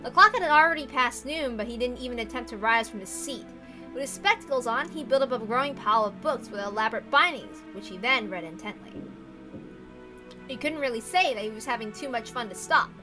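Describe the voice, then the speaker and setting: High-voiced woman narrating a visual novel. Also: lively intonation, a little fast, poor audio. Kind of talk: expressive narration with casual asides. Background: faint music.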